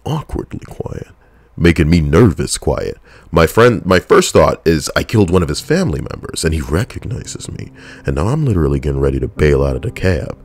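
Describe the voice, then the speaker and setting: Deep-voiced man telling a blunt story. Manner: blunt, profane, conversational. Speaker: veteran storyteller. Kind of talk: personal storytelling.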